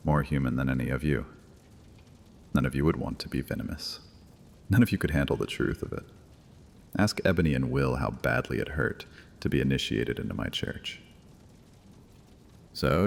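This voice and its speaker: Very deep, menacing vampire priest. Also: male voice, creaky, exaggerated voice. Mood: threatening, taunting. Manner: taunting, theatrical, deliberate. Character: menacing, mocking vampire high priest, male. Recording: long hall reverb, music underneath.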